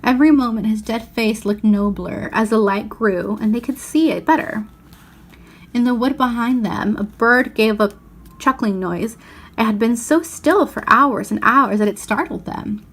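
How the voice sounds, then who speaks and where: A woman reading a children's novel aloud as expressive narration. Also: on the high side, moderate pace, husky. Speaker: storybook reader.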